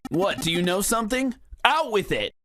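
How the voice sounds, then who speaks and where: A woman voicing a brash, excitable young student adventurer in a game fan dub. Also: mid-range voice, moderate pace, slight background noise. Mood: excited, teasing.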